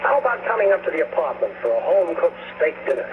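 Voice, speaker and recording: An actor playing a cool, sardonic, teasing detective in a 1940s radio drama, heard through a noisy old broadcast. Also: male voice, fairly high pitch, big pitch swings, fast, narrow, tinny audio. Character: cool, wisecracking male amateur detective.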